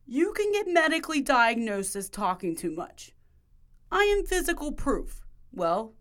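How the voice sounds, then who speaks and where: A young woman from Ohio hosting a podcast interview in a chatty, animated way. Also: fairly high pitch, unhurried. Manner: chatty and animated, with a punchy scripted opening. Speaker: podcast host.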